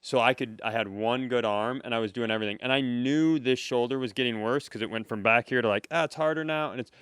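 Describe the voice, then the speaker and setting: A man's voice: medium pitch, fast talker, fairly bright tone, smooth, no echo. A man hosting a comedy podcast, telling personal stories in casual conversation.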